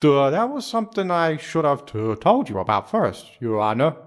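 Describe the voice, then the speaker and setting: An actor playing a bumbling, nervous police detective with a heavy stammer and a deferential manner, in a video game dub. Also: male voice, on the high side, very animated, moderate pace. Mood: rattled, apologetic.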